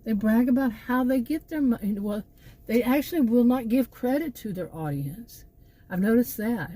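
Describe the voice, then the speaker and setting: A woman's voice: fairly high pitch, lively intonation, moderate pace, mellow, no room sound. A middle-aged woman with a Texan accent, talking in a meandering opinion monologue full of asides and restarts. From Texas. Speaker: channel host.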